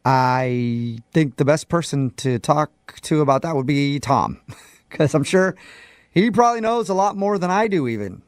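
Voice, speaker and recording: A man's voice: fairly high pitch, expressive, measured pace, dry recording, clean studio sound. Man posing as a casual, evasive male court clerk. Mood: awkward, amused. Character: chatty county court clerk, awkwardly breaking bad news, amused. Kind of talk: improvised prank phone call.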